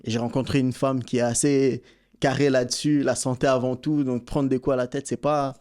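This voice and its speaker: Relaxed Belgian man telling his story. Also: medium pitch, a little fast. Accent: Belgian French. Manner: relaxed storytelling, many fillers. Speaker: boxing coach and content creator. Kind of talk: casual interview.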